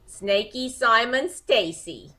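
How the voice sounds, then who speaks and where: A woman's voice: on the high side, expressive, slow pace, sharp tone, exaggerated voice. A woman playing a tough, slangy, lovesick would-be killer in an old-time radio comedy mystery, with melodramatic delivery. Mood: scornful. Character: cute, tough, lovesick female would-be killer, excitable gangland moll.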